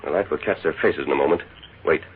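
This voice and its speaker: An actor playing a calm, resolute masked cowboy lawman with firm authority in a 1940s radio western. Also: extremely low pitch, fast talker. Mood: resolute, watchful. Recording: tinny, hissy 1940s radio recording.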